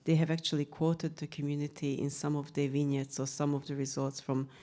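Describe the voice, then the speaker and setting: Woman, Hungarian accent, low pitch, mellow tone, earnest, measured, prepared talk. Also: monotone. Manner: measured, earnest delivery with occasional fillers. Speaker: author and researcher presenting her book.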